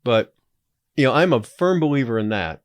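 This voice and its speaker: A man's voice: medium pitch, expressive, moderate pace, no room sound, studio quality. A man in his forties talking casually as a podcast guest, reflective and opinionated. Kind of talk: casual conversational interview.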